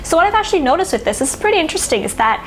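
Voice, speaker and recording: A woman's voice: sits high, big pitch swings, very fast, slight background noise. A woman whose first language is Thai, an astrologer musing in casual talk to camera, full of "you know" and "like".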